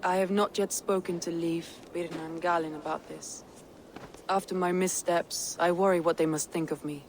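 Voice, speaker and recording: A woman's voice: lowish voice, steady tone, moderate pace, slight background noise, lightly acted. A woman playing a proud, resolute Viking war leader in a video game, speaking in an earnest, stately, commanding way. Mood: troubled, weary. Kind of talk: dramatic video game acting.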